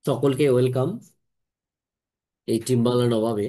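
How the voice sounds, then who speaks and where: A man's voice: mid-range voice, steady tone, unhurried, mellow. Man hosting an online team briefing. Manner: repetitive, with frequent tag questions and English words mixed in. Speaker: host of an online team meeting.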